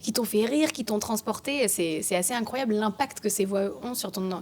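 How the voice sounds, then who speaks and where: A woman voice actress chatting in a relaxed interview, excitable and animated, quick to laugh. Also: medium pitch. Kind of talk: casual interview chat. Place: at a voice-acting festival.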